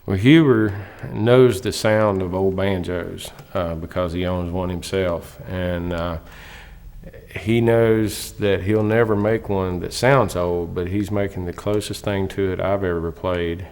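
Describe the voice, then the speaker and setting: A man's voice: low voice, fairly even intonation, a little slow, a little mellow, in a large hall. A man in his thirties, a banjo player speaking earnestly in an interview with a few fillers. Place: studio with dark backdrop.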